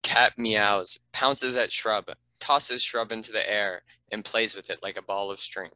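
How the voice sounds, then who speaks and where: A man reads scripted stage directions as narrator. His voice is lowish with a bright, forceful edge. The sound is very dry, without echo. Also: steady tone, a little slow.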